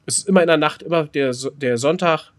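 A man's voice: a little high, quick pace. A man with a German accent chatting casually and playfully as a podcast co-host, often interjecting. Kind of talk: casual joking banter.

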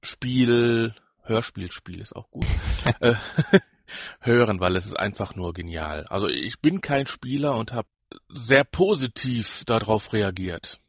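A man co-hosting a podcast in casual chat, husky and creaky, rambling and searching for words. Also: lowish voice, expressive, slow, no room sound, clean studio sound. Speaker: podcast co-host.

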